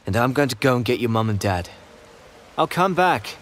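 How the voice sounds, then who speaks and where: A man's voice: mid-range voice, big pitch swings, moderate pace, a bit bright, slight background noise. A man playing an earnest man in dramatic video game style, urging a friend onward. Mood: urgent, reassuring.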